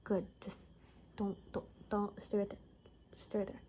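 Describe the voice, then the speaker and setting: A woman playing a gossipy apocalypse survivor in a soft-spoken ASMR roleplay, casual and halting, often slipping into a whisper. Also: mid-range voice, monotone, husky. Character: gossipy young woman, zombie apocalypse survivor cutting hair.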